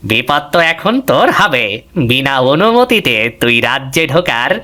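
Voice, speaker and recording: A man's voice: on the high side, big pitch swings, moderate pace, bright, forceful tone. A man playing a blustering giant demon king in a fairy-tale cartoon, speaking in an imperious way. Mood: menacing. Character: blustering giant demon king, a son missing his father.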